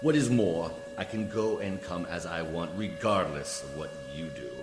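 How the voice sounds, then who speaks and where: A man's voice: deep voice, normal speed, natural voice. A man acting a coolly formal, condescending alien judicial official in a science fiction radio play, speaking with precise diction. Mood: calmly insistent. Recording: echoey hall reverb, music behind.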